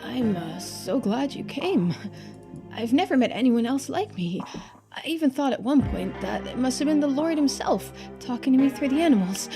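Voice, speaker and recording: A woman voicing a gentle, sincere, devout churchgoer with a polite, hesitant manner in a game. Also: high-pitched, sing-song, normal speed, over music, pitched above the performer's own voice. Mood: shyly grateful. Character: gentle, sincere devout church member who talks to animals.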